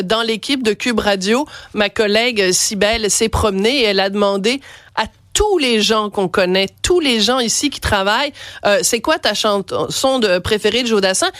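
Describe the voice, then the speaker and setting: Woman radio host, Quebec French accent, a bit stuffy with a cold, playful and quick to laugh. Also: on the high side, a bit bright, no echo, studio quality. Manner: chatty, playful, laughs often. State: has a cold. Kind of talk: lively radio interview.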